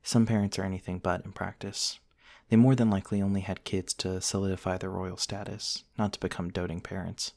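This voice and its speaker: A man playing a gentle, eccentric vampire prince from the nineteenth century, softly telling his story in an intimate, genteel ASMR roleplay monologue. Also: low-pitched, little pitch movement, vocal fry. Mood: wistful, reflective. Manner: soft-spoken, wistful, genteel storytelling with pauses.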